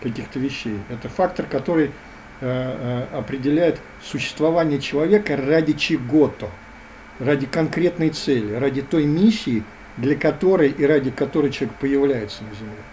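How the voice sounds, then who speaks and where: A man's voice: medium pitch, normal speed, dark tone, a little hiss or hum, rough recording. A man speaking as a guest in a philosophical interview, earnest and lecturing, often circling back to the same phrases.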